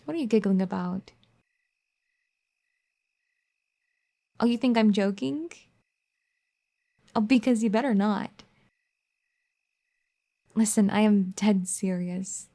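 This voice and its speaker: A caring young wife, firm and a little bossy, as a woman acts her out. Her voice is mellow and dark, soft-spoken and gently scolding, in an ASMR roleplay style. She talks at an ordinary middle pitch. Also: a little slow, vocal fry, long pauses. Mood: serious.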